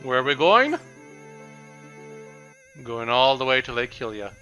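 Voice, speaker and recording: A young man with a slightly raspy voice, giving casual, rambling commentary over a video game with frequent pauses and restarts. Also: mid-range voice, big pitch swings, bright, forceful tone, muffled recording, faint music behind. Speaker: let's play commentator.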